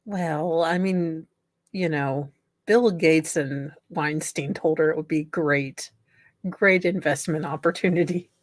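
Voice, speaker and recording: A woman chats in a casual comedic style, sarcastic and emphatic. Her voice is a little low for a woman, and it is recorded dry, with hardly any room sound. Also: takes it slowly, no room sound.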